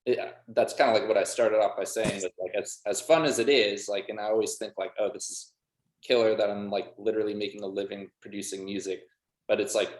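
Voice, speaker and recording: The recording is noticeably roomy and echoing. A man with a lowish voice talks casually as a guest musician and producer, in a loose conversational style. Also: fairly even intonation, a little fast. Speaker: band member and music producer, interview guest. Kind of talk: casual chat. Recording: roomy, echoing room sound.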